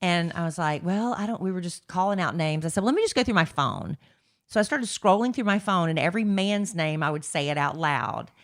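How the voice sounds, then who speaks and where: A woman's voice: fairly low pitch, lively intonation, fairly quick. A middle-aged woman, co-hosting a podcast in a chatty, rambling way. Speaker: podcast co-host. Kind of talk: casual chat.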